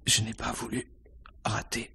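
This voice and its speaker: An actor playing a dying poisoner and theatrical lover in a radio mystery, in a weak, halting, husky whisper. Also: male voice, on the low side, completely flat delivery, sharp tone, slight background noise, heavily acted. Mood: fading, wry. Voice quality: breathy, husky, gravelly whisper. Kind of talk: French radio mystery drama acting.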